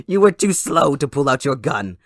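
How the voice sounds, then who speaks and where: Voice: medium pitch, pushed and bright, no echo, studio quality, heavily acted. A performer voicing a gleeful, sadistic supervillain kidnapper in an audio roleplay, theatrical, mocking and possessive toward a captive listener. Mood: manic taunting. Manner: theatrical, mocking, giggling, swooping between croon and shriek.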